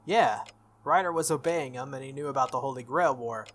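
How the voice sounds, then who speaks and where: A man's voice: medium pitch, brisk, fairly bright tone, a little hiss or hum, close to an everyday voice. A man reading the lines of an earnest, good-natured teenage schoolboy from a visual novel. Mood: earnest, explaining. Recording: music behind the voice.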